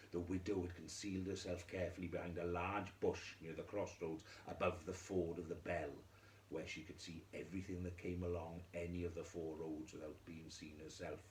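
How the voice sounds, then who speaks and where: A man telling a children's fairy tale in a theatrical way, moving between hushed and emphatic delivery. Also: deep voice, roomy sound, slight background noise, rough recording. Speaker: storyteller.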